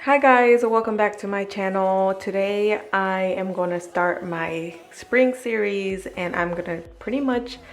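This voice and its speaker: A woman's voice: medium pitch, gravelly. A young woman with a cold, chatting casually to camera about cleaning her house, with fillers and small laughs. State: husky from a cold. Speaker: vlogger.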